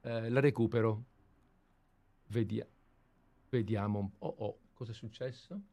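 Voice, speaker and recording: A man chatting casually in a relaxed, offhand way while setting up a reading. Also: lowish voice, unhurried, dull audio. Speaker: co-reader. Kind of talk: casual chat.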